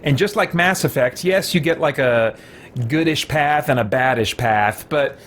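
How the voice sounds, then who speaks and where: A man's voice: on the high side, measured pace, slight background noise. A man livestreaming a game, explaining things in casual, rambling commentary. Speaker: livestream gamer. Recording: game music faintly behind.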